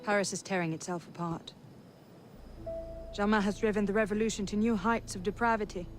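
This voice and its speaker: A woman's voice: mid-range voice, fairly even intonation, fairly quick, close to an everyday voice. An actress as a proud young noblewoman, music behind. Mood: earnest, urgent. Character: proud, determined young noblewoman, stern but caring. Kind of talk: dramatic video game cutscene acting.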